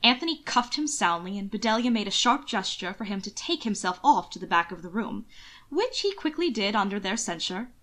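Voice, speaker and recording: A woman reading a scripted story aloud as an expressive audiobook narrator. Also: mid-range voice, fairly quick. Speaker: audiobook narrator of fan fiction.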